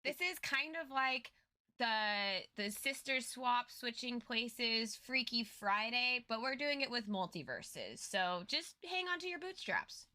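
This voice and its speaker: A woman with a very bright, forward voice sitting a little high in pitch. She co-hosts a recap show, chiming in with casual commentary. Her manner is playful and joking. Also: lively intonation, slow. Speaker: co-host of a lore recap show.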